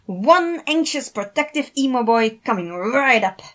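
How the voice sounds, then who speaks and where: A woman voicing an earnest, caring young man in an expressive fan fiction reading. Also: fairly high pitch, a little slow, voice pushed up. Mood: dramatic, calling out. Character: earnest, caring young man, upbeat but concerned host.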